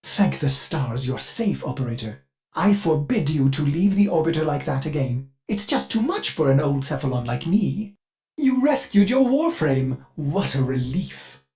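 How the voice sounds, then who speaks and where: A man's voice: a little high, very animated, measured pace, dark tone. A man voicing a devoted, anxious ship AI in a video game, speaking in a formal, theatrical and solicitous way. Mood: relieved, scolding. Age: older. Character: fussy, devoted ship AI, eager, anxious, glitchy servant. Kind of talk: scripted video game voice acting.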